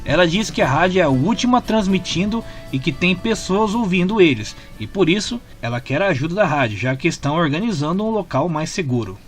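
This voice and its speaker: Brazilian guy doing scripted story recap narration, voice a bit on the high side, music playing behind him. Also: big pitch swings, fairly quick, few pauses. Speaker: narrator of comic story summaries. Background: background music.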